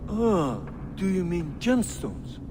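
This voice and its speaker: A man's voice: high-pitched, very animated, takes it slowly, full character voice. A male actor playing a frightened, humble laborer in a video game, plain-spoken and deferential. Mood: humble, nervous. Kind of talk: video game dialogue acting. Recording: clear background ambience noise.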